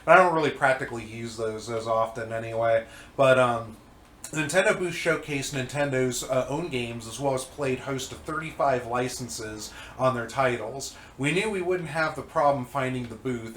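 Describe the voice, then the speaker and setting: A man's voice: medium pitch, moderate pace, fairly bright tone, slight background noise. Stuffy-nosed guy rambling about retro games, lots of ums, roomy echo. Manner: rambling, many fillers and restarts. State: congested. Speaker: co-host reviewing old magazines. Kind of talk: casual chat.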